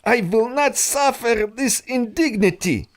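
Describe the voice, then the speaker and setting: A man's voice: extremely high pitch, expressive, unhurried, heavily acted. An actor playing a pompous, scheming male villain who is a thief of antiquities, haughty and theatrical. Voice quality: haughty and theatrical, spits insults. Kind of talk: audio drama acting.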